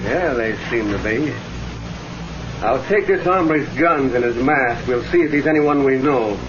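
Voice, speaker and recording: An actor playing a hard, self-assured, scheming outlaw boss in a radio Western, talking curt and tough. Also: male voice, medium pitch, a little slow, over music, close to an everyday voice. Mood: wary, hard. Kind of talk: old-time radio Western acting. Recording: muffled band-limited old radio recording.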